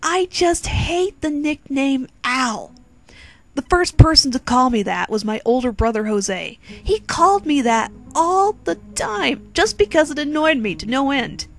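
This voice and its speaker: A woman's voice: high-pitched, expressive, unhurried. A woman voicing a suave, courteous young Latin man, a touchy romantic charmer, in a dramatic fan fiction reading. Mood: earnest, glum.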